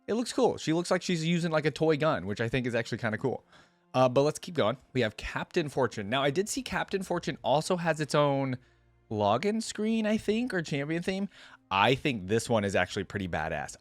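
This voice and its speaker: A man's voice: mid-range voice, animated, normal speed, dry recording, faint music behind. A man giving casual, informal reaction commentary, enthusiastic and thinking aloud as he goes. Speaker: reaction video host.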